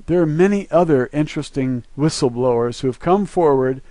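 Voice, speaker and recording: An American man speaks in a fairly high register, recorded close and dry. He presents a solo monologue in an earnest, preachy way. His delivery is emphatic, as he lays out points and urges listeners on. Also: a little fast, warm tone, no room sound. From United States. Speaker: video creator and presenter. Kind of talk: solo monologue, part reading a list, part commentary.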